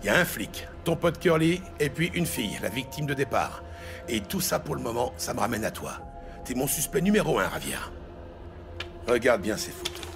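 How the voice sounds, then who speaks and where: An actor playing a tough, blunt New York police detective in a French audio drama, pressing and insistent. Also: male voice, medium pitch, very animated, normal speed, vocal fry, music in the background. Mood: menacing, pressing. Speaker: police detective interrogating suspects. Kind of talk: dramatic audio series acting.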